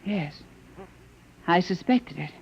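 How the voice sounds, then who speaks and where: A woman's voice: fairly low pitch, lively intonation, slow, vocal fry, slightly rough, full character voice. An actress playing an anxious, bewildered captive woman in a radio drama. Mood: distressed. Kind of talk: 1950s radio drama acting. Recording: dull vintage radio recording.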